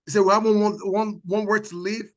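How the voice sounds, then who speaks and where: A man's voice: sits high, little pitch movement, fairly quick, fairly bright tone, muffled recording. A man, a guest organizer, playing a guessing game and stammering as he answers, sometimes breaking into song. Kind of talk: casual chat, game guest.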